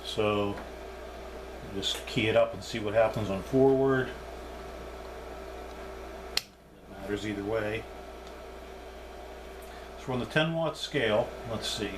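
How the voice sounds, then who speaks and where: Low-voiced man, noisy recording. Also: takes it slowly, long pauses. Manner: unscripted, thinking aloud. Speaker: hobbyist product reviewer. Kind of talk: casual hands-on demonstration talk.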